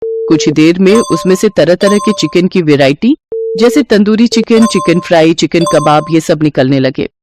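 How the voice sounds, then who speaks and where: A woman's voice: on the low side, lively intonation, normal speed, background noise. A woman narrating a scripted story as the storyteller.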